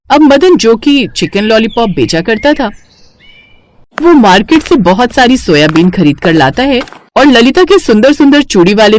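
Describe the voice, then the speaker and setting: A woman narrating a scripted moral story as a storyteller, in an expressive style. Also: medium pitch, no room sound.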